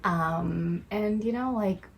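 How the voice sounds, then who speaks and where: A woman's voice: mid-range voice, takes it slowly, a little hiss or hum. A young woman co-hosting a casual podcast chat. She has a mixed Malaysian-Taiwanese accent and tells stories with lots of fillers and laughter. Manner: laughing storyteller, chatty with many fillers. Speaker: podcast co-host.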